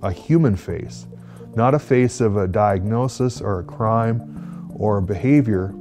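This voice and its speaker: A man's voice: fairly low pitch, a little slow, music in the background. A man speaking informally and conversationally in a documentary interview.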